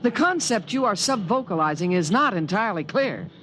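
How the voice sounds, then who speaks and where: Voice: medium pitch, sing-song, fairly quick, fairly bright tone. An actor in a 1950s radio drama playing a fussy, protective invisible alien with precise, formal diction. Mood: matter-of-fact. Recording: noisy vintage 1950s radio recording.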